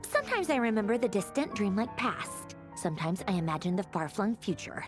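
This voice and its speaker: A woman's voice: medium pitch, big pitch swings, fairly quick, music in the background, close to an everyday voice. A woman playing a haughty, irritable young noblewoman in a video game, clipped and sarcastic. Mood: wry.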